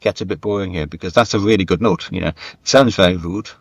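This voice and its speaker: Deep voiced guy, writer in an interview, thoughtful and halting, repeats himself, dry sound. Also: no echo. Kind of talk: conversational interview answers.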